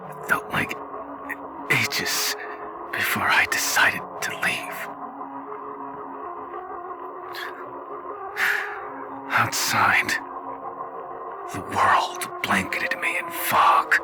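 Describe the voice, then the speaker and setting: A man voicing a grieving father in his thirties, a weary wasteland survivor, in a halting, dramatic roleplay monologue. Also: fairly low pitch. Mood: haunted, grieving. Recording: background music under the voice.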